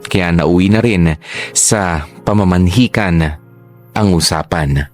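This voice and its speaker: A Filipino narrator tells a family love story in the first person. The low voice reads scripted radio drama lines over soft music. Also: male voice, slow. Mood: reflective.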